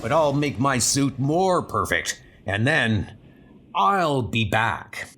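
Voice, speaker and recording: A man's voice: mid-range voice, sing-song, slow, background noise. A man voicing an embittered, menacing male villain in an armored suit, gloating theatrically in a comic dub. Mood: bitter, vengeful.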